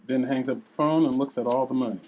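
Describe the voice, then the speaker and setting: A woman's voice: rumbling low, fairly even intonation, quick pace, warm tone, slight background noise. A woman reading scripted scene narration and stage directions at a table read.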